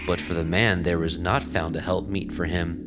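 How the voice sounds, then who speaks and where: A man narrating scripture in a steady, reverent reading. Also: sits low, normal speed. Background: background music.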